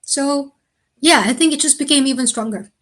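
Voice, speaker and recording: A woman answering interview questions in a thoughtful, earnest way, with slow, deliberate phrasing. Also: sits high, a bit bright. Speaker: interview guest.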